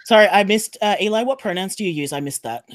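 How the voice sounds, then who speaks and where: A man's voice: high voice, animated, fast, no room sound. Man speaking on a panel, dry sound. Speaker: podcast panelist. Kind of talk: casual, explanatory panel talk. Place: remote video call.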